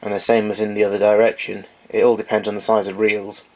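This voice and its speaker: A man's voice: lowish voice, little pitch movement, fast talker, a little mellow. A man giving an informal technical demonstration, explaining things in a matter-of-fact, slightly hesitant way. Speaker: tape recorder repairer giving a demonstration. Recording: noisy amateur recording with clicks.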